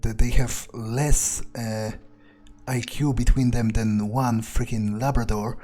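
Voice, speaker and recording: A man playing a video game in character, thinking aloud in halting, musing, sweary commentary. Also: medium pitch, steady tone, warm tone. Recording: game music behind the voice.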